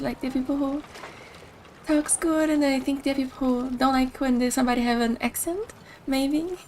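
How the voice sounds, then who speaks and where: A woman's voice: high-pitched, a little mellow, slight background noise. A young woman from Brazil with a Brazilian Portuguese accent, casually chatting while she streams a game. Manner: chatty, rambling, thinks aloud. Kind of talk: casual gameplay commentary.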